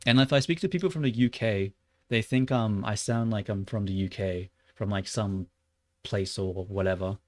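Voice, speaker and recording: A young Australian man livestreaming while he works, chatting loosely with lots of "like" and muttering curses at his software. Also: fairly low pitch, moderate pace, dry recording. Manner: rambling and loose, heavy on "like", muttering and swearing at the software. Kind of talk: casual livestream chat while 3D modeling.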